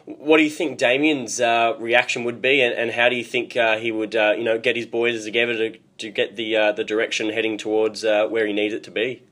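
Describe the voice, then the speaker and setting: A man hosting a sports interview, speaking haltingly and repeating words as he puts his questions. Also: fairly low pitch, little pitch movement, fairly bright tone. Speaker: sports radio host and interviewer. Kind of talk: broadcast interview.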